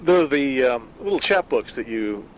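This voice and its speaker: A man in his sixties, an author reading his own horror story and then chatting in a measured, self-deprecating way. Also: mid-range voice, expressive, slight background noise, low-quality recording. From California. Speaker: author and narrator. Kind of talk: scripted horror narration and casual backstage talk.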